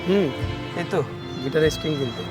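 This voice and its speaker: A man's voice: on the high side, animated, fast, lightly acted. An actor playing an earnest young guitarist who is proud and touchy about his music, in a short film drama. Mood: reserved, teasing. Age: young adult. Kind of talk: acted dialogue in a Bengali short film.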